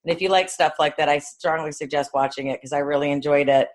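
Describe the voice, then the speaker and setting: A woman's voice: low voice, fairly even intonation, quick pace. A middle-aged or older woman, chatting casually as a podcast co-host. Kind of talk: casual chat.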